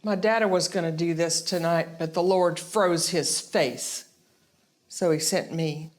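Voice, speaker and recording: A woman acting as a folksy country preacher's daughter in a comedy monologue. Her voice sits a bit low as she spins her tale in a homespun, storytelling manner. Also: measured pace, lightly acted. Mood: folksy, earnest. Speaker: acting student. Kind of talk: theatrical character monologue.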